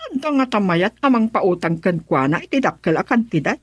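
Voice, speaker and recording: A woman's voice: medium pitch, sing-song, normal speed, breathy edge, dry recording. A woman playing a concerned wife who seriously discusses a debt in a radio drama. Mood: worried.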